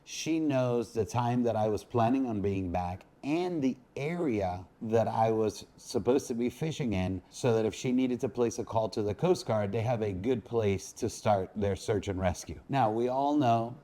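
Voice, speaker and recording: A man narrating a scripted instructional video as host, explaining things in measured phrases. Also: medium pitch, dark tone.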